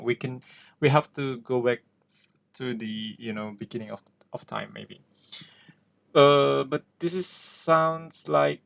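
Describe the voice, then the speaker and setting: Man, Indonesian accent, thinking aloud with fillers, slow delivery, dull lo-fi sound. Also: medium pitch, vocal fry, fairly bright tone. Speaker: host. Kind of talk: casual explainer talk. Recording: dull lo-fi recording.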